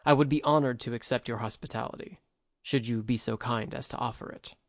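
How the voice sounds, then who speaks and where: A man reading a story, voicing a calm, guarded monk who speaks in a measured, formally polite way. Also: mid-range voice, dry recording, studio quality, lightly acted.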